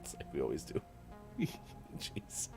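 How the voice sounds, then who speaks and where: A man hosting a live morning show in easygoing, self-mocking banter, his voice a bit rough today. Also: unhurried, mellow, soft background music. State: slightly rough, gruff voice. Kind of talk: casual live-stream morning show chat.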